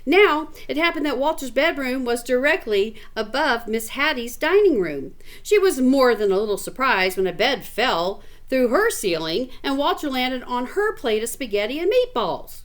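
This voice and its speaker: Lady reading a kids book out loud, highish voice, super animated, chats to the listener in between. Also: normal speed, hardly any pauses. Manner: expressive, chatty asides to the listener. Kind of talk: animated storybook read-aloud with casual asides.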